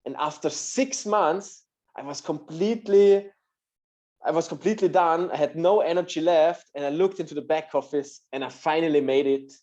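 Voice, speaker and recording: A man's voice: a little high, normal speed, a little mellow, dull audio. A man from Austria with an Austrian German accent, a native German speaker, telling his story on a video call, hesitant with many fillers. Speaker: guest speaker.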